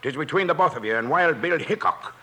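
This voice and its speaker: An actor playing a feisty, fretful old gunsmith with an Irish brogue in a 1950s radio western. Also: male voice, fairly high pitch, animated, fast, pushed and bright, husky, full character voice. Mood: pleading, urgent. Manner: scolding, pleading. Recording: faintly noisy old radio recording.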